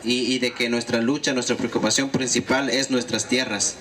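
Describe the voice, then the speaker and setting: A man's voice: medium pitch, fairly even intonation, normal speed, a bit bright. A male interpreter renders each remark fluently and steadily after the speaker. Light background noise comes through at an outdoor event. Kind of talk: consecutive interpreting. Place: outdoor gathering.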